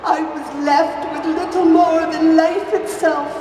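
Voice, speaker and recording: A woman's voice: very high voice, fairly even intonation, unhurried, darkish tone, a little hiss or hum. A woman playing a fretful, impoverished widowed mother, declaiming melodramatically on stage. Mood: wistful, lamenting. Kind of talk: live stage melodrama acting. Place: live stage, far from microphone. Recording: echoey hall, distant, crowd and music.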